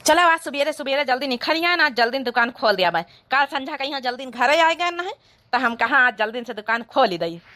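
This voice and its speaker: A woman's voice: sits high, lively intonation, brisk, sharp tone. A woman voicing a village shopkeeper man with an Awadhi accent in a comedy cartoon. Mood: matter-of-fact.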